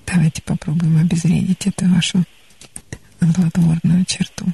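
A woman psychologist counselling a caller on a studio radio call-in show, calm and gently advising. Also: fairly low pitch, moderate pace, gravelly, husky.

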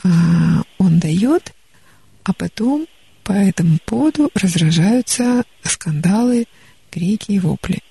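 A woman psychologist counselling a caller on a studio radio call-in show, calm and gently advising. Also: mid-range voice, slow pace, vocal fry, breathy edge, no room sound.

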